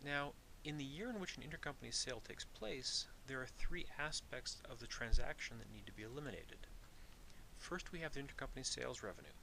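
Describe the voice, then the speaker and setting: Bright-voiced male instructor over faint hiss. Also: mid-range voice, vocal fry, poor audio. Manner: methodical, deliberate explaining with restated points. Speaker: accounting instructor. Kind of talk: explanatory lecture narration.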